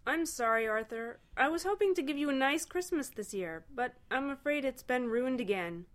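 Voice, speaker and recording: A woman voicing an excitable, sentimental male alien in a story reading, gushing and halting with emotion. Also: high voice, pitched above the performer's own voice. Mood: earnest, wistful.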